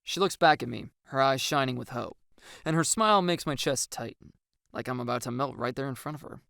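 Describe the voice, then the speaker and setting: A narrator reading a first-person story with acted dialogue, in an expressive and wry storytelling manner. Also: mid-range voice, fairly quick, vocal fry, no echo, clean studio sound.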